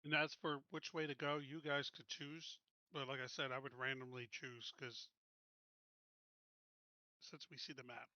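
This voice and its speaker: A man's voice: medium pitch, level tone, a little slow, bright, forceful tone, no echo, muffled recording. A man playing a tabletop game, casually organizing and directing the other players. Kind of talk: casual game strategy talk.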